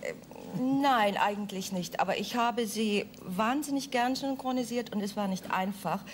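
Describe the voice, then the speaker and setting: A woman's voice: mid-range voice, normal speed, a little hiss or hum. A middle-aged woman, a voice actress, talking earnestly about her work in a TV interview. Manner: cheerful, earnest, light laughter. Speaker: voice actress, show guest. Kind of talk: interview on a game show. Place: TV studio.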